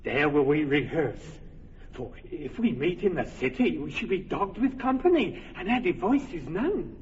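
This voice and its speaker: An actor playing an earnest, fussy carpenter who directs a village play, persuasive and organizing, in a radio drama. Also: male voice, fairly high pitch, big pitch swings, normal speed, a little mellow, slight background noise. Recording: dull lo-fi radio recording with hall reverb.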